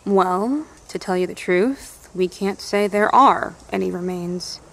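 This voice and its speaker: A woman's voice: lowish voice, slow, gravelly, fairly bright tone, exaggerated voice. A woman playing a plain-spoken, no-nonsense survivor in charge of an outpost, blunt and folksy in an audio drama. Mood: matter-of-fact.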